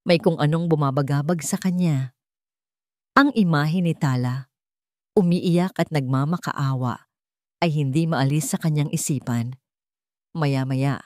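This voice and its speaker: Filipino woman narrator, deep soft-toned voice, dry close sound, telling a dramatic story. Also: dry recording.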